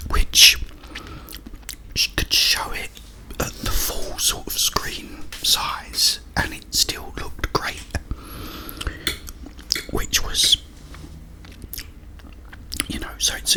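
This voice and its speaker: Slow whispering man, ASMR ramble. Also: rough recording. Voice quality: breathy, unvoiced whisper. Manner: halting, pausing between short phrases. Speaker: ASMR creator and host. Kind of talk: whispered ASMR ramble about a video game.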